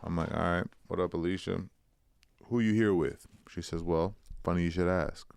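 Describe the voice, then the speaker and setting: A podcast co-host spins a slangy story in a very low voice, playing every part himself. His tone is smooth and resonant. The recording is dry and close. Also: moderate pace, dry recording. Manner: animated storyteller, slangy, acts out quotes. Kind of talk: casual storytelling chat.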